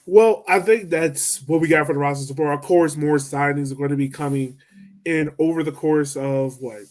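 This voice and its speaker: Animated man co-hosting a sports podcast. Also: on the high side, normal speed. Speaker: podcast co-host. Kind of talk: casual sports chat.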